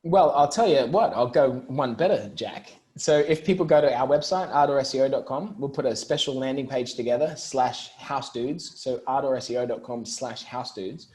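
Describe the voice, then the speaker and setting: A man's voice: mid-range voice, moderate pace, few pauses, a little mellow. A man with an Australian accent, speaking as an interview guest and explaining things in an informal, conversational way. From Brisbane, Australia. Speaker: interview guest, marketing entrepreneur.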